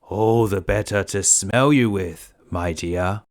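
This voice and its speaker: A man voicing a big wicked wolf in a children's storybook, gruff and slyly polite. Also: fairly low pitch, slow. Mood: sly, coaxing.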